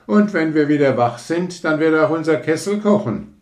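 A man voicing carefree young boys with a loud, brash way of talking in a storybook tale. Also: on the high side, expressive, normal speed, voice pushed up, lightly acted. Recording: roomy echo.

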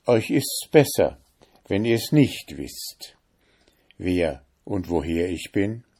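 A man's voice: low voice, sing-song, dark tone, slightly rough, heavily acted. A man voicing the Devil as a sly, noble-seeming prince of hell in a storybook reading. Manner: sly, measured and warning.

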